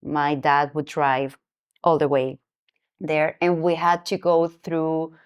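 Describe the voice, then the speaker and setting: Smooth-voiced woman hosting a podcast. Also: sits low, level tone, slow pace. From Venezuela. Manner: reflective personal storytelling. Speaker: podcast host. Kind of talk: conversational interview.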